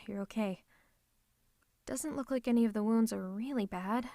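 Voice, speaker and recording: A woman's voice: a little high, a little mellow, no echo, clean studio sound. A woman voicing a theatrical, possessive female supervillain who is secretly a tender lover, in a scripted ASMR roleplay with a dramatic, haughty, teasing manner. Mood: whispered relief. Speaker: ASMR voice performer.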